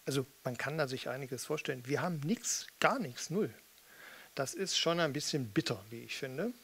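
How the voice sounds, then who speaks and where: A man's voice: mid-range voice, lively intonation, moderate pace, gravelly, a bit bright, breathy edge. A man in his fifties chatting casually between songs in a dry, musing, rambling way, close to a stage microphone. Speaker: spoken-word performer and frontman. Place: small theatre with red seats, indoors. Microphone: studio mic with pop filter on stand, close.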